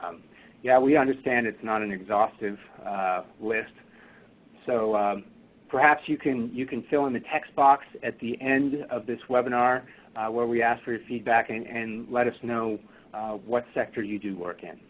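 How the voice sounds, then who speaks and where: Man, husky, halting webinar moderator, tinny phone-line sound. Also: mid-range voice, fairly even intonation. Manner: halting, many fillers and restarts. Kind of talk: webinar presentation.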